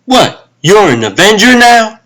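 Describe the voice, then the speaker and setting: Wary ex-agent weapons expert for a comic dub, played by a man: higher male voice, lively inflection, dry wit. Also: unhurried, full character voice. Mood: suspicious.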